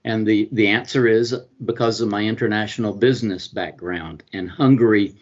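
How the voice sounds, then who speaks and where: A middle-aged man with a Southern Georgia accent gives interview answers in a low voice. He hesitates often, restarting phrases and filling gaps with ums and uhs. The recording sounds dull and lo-fi. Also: normal speed. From US state of Georgia. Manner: hesitant, many fillers and restarts. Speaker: consul and business attorney, guest.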